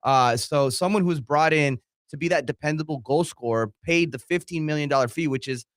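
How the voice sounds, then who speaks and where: A man's voice: fairly high pitch, fairly quick, no echo, clean studio sound. A man co-hosting a soccer talk show, offering analysis in a conversational way. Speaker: co-host and producer.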